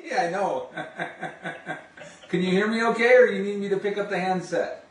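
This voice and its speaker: A man's voice: sits high, a little slow. A middle-aged man chatting casually and gushing with enthusiasm as he demonstrates a product and talks on a call. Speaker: video phone sales representative. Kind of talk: casual demonstration and phone chat. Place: small home office, indoors. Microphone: camera a few steps away. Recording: roomy, distant camera mic with hiss.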